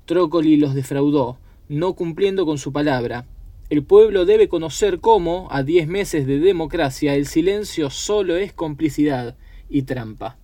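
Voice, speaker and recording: A man's voice: on the high side, measured pace, warm tone, no room sound. A man doing scripted documentary narration and reading reports aloud.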